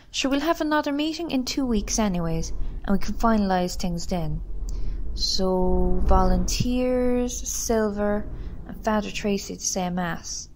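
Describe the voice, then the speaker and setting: A woman playing a brisk, pragmatic Irish parish meeting chair in a radio drama. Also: fairly high pitch, darkish tone, a little hiss or hum. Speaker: actor in an amateur radio drama.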